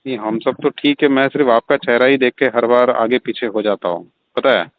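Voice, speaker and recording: Over a tinny phone line comes a middle-aged landlord played by a man, mid-pitched and insinuating. He circles his point with hesitant repetitions in a phone-call drama. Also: steady tone, fairly quick, natural voice. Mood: pressing, complaining. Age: 40s.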